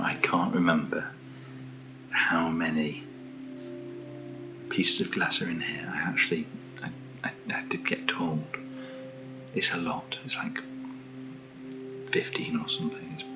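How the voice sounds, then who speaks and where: A British man speaking softly in a breathy near-whisper, giving an informal, slightly hesitant ASMR product walkthrough as a keen enthusiast. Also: extremely low pitch, little pitch movement, unhurried, long pauses, over music. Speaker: ASMR host. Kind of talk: soft-spoken ASMR product unboxing.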